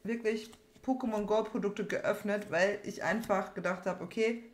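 A woman's voice: medium pitch, steady tone, moderate pace. Young woman, casual card-opening chat, informal, repetitive, echoey home room, faint background noise. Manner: informal, rambling, repeats phrases. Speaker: trading card opening host. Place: small room at home, indoors. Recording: roomy echo, background noise, card crinkling.

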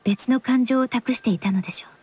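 An actress playing a calm, analytical young perfumer in a game, speaking politely and reflectively in measured phrases. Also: female voice, a little high, husky, soft background music. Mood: solemn, reflective. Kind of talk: Japanese game voice acting.

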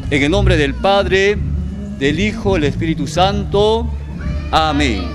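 Guy giving a blessing, solemn and slow, music behind. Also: sits high, animated. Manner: solemn, measured. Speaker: priest blessing a room. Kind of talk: blessing prayer.

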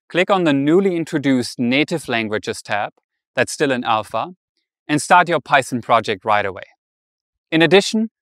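A young man delivers a scripted keynote in a bright, upbeat presenter's voice. He is recorded on a lapel mic while standing outdoors in an open meadow. The sound is close and dry, with no room echo. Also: medium pitch. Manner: upbeat, polished announcer delivery.

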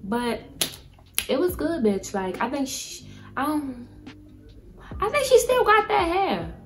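A woman's voice: high voice, sing-song, slow, music in the background. A young woman vlogger giving a casual, chatty product review, speaking candidly with plenty of slang and swearing. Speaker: beauty vlogger.

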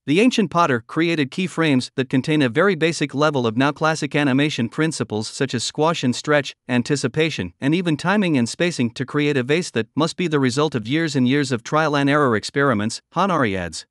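A man reading a scripted documentary narration in an even, measured way. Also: on the high side, dry recording, studio quality.

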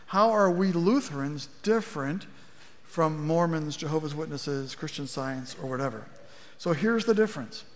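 A middle-aged male pastor preaching in a chatty, wry way, his voice a touch higher than most men's. He talks into a headset mic on a big church stage, and a long reverb trails behind his words. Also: lively intonation, moderate pace, slight background noise. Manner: conversational preaching with wry asides, gesturing at a lectern. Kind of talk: sermon. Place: large church auditorium stage.

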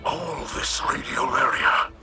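A man playing a gentle, scholarly alien ally in a video game, speaking in a hushed, breathy, measured and formal way. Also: medium pitch, steady tone, loud music behind the voice. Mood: pensive. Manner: measured, formal, hesitant, wonder-filled. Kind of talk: scripted video game dialogue.

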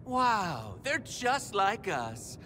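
Game villain role: mocking young psychotic clown, high sharp voice that swings wildly. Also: male voice, very animated, extremely slow, a little hiss or hum, full character voice. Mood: whiny glee. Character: flamboyant, gleefully sinister younger male clown villain.

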